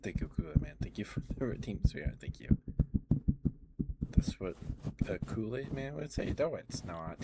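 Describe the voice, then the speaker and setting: Low-voiced man in casual ASMR chat. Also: lively intonation, normal speed, creaky, long pauses, very noisy. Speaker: ASMR livestreamer.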